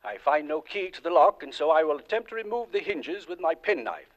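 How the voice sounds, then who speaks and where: Formal ghost-hunting doctor, highish lively voice. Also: male voice. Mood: grave, intent. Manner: formal, precise, measured. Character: earnest, methodical male ghost-hunting doctor, frail but determined. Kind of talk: 1940s radio suspense acting, formal report. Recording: dull, lo-fi vintage radio recording.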